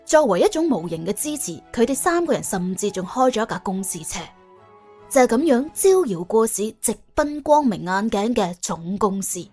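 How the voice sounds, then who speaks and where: A woman's voice: medium pitch, big pitch swings, normal speed, darkish tone, breathy edge, soft background music. A woman with a Cantonese accent narrating a story in a dramatic, colloquial, humorous way. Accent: Hong Kong or Guangdong Cantonese. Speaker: audiobook narrator. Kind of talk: colourful scripted story narration.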